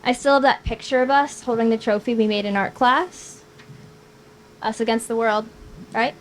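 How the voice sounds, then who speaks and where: A woman's voice: a little high, steady tone, moderate pace, natural voice, poor audio. A woman acting a playful, tender young woman in a live screenplay table read, with some noise on the recording.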